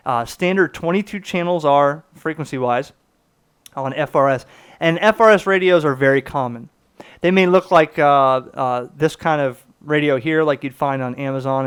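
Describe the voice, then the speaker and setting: A man's voice: fairly high pitch, smooth. A man casually explaining and demonstrating a product to viewers, conversational, stressing key words as he goes. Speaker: product reviewer, channel host.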